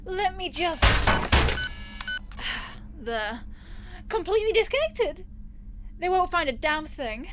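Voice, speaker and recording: A woman's voice: very high-pitched, wildly swooping pitch, slow pace, long pauses, heavy background noise, exaggerated voice. A woman playing a clingy, possessive rich young woman in an audio roleplay, theatrical and cooing with sweet menace. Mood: doting, hurried. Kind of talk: yandere ASMR audio roleplay.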